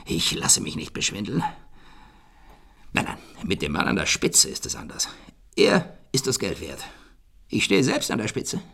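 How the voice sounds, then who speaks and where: A suspicious, eccentric old rich man in a radio play. His low, creaky voice blusters theatrically and leaps wildly in pitch as he barks abrupt remarks. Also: wildly swooping pitch, unhurried, pauses often, sharp tone, exaggerated voice. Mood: boastful, ranting. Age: older.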